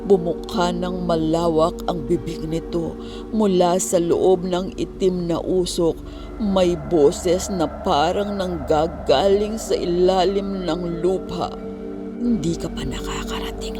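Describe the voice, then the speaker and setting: A storyteller narrating a scripted horror tale in a suspenseful manner, with dramatic pauses and hushed moments. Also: medium pitch.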